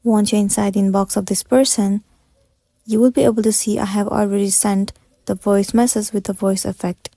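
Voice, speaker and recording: A woman's voice: medium pitch, level tone, normal speed, vocal fry, dark tone, dry recording. A woman giving scripted step-by-step tutorial narration in a methodical, instructional manner.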